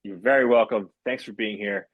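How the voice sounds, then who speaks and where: Male host, upbeat, husky, repeats himself a little, dry sound. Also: fairly low pitch, steady tone, fairly quick, no echo, phone-quality sound. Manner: upbeat, repeats words when unscripted. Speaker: podcast host. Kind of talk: podcast interview hosting.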